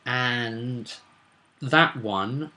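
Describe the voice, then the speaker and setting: A man's voice: medium pitch, very slow, pushed and bright. A man hosting a tabletop roleplay vidcast, talking informally and discursively as a game master, often adding tag questions.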